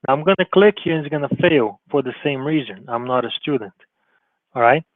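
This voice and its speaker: A man's voice: medium pitch, expressive, no room sound. Man with a tinny, phone-like voice. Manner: informal, halting, with frequent restarts and "okay?" check-ins. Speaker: college advisor and instructor. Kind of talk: screen-share tutorial presentation. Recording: tinny phone-like narrow sound, dry.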